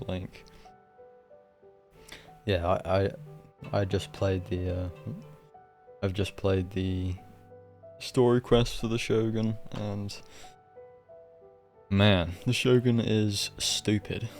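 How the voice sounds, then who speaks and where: A man streaming a game with casual live commentary, rambling, opinionated and quick to swear. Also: fairly low pitch, darkish tone.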